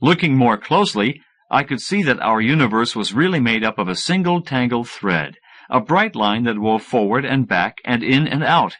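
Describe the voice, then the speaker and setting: A man reading first-person fiction as an audiobook narrator, in an expressive scripted style. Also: mid-range voice, moderate pace.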